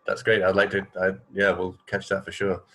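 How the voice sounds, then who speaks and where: Man, low voice, casual radio chat, easygoing and a little hesitant. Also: monotone, fairly quick, pushed and bright. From England. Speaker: radio show co-host.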